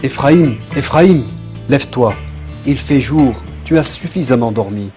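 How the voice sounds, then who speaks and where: A man playing a calm, watchful holy traveller with a measured, gently authoritative delivery in a religious cartoon dub. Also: medium pitch, faint music behind, natural voice. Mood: gently commanding. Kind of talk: dubbed religious cartoon acting.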